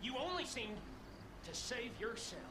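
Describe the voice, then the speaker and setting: A man's voice: very high voice, very animated, unhurried, background noise, exaggerated voice. A man playing a bitter, taunting Western outlaw gang leader with an American drawl in a video game. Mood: mocking. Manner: sneering, taunting. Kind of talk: video game cutscene acting.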